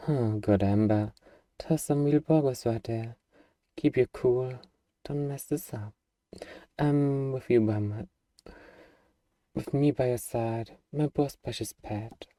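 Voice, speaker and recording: A man's voice: mid-range voice, slow pace, pauses often, warm tone, no echo, studio quality, recorded from a distance, lightly acted. A man playing an eager, bargaining demon who craves a cuddly pet, in a playfully earnest, wheedling ASMR roleplay monologue. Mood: excited, cozy.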